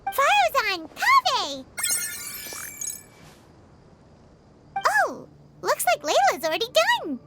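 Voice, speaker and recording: A woman voicing a small, childlike fairy companion in a video game, chirpy, squeaky, eager and full of questions. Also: wildly swooping pitch, a little slow, noisy background, heavily acted. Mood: surprised, curious. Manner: exclamatory, eager, inquisitive. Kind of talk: animated video game voice acting. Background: game music.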